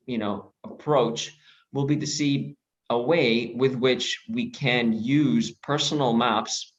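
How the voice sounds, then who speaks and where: A man originally from Greece giving an online presentation, speaking in a measured way with frequent pauses and restarts. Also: on the low side, dull audio. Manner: measured, many fillers and restarts. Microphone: video call.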